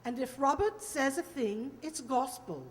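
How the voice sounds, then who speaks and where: A woman's voice: high voice, expressive, unhurried. A woman playing a blunt, impatient older aristocratic lady with a clipped manner in a radio play. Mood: impatient, curious. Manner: clipped, brusque, imperious. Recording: echoey hall reverb.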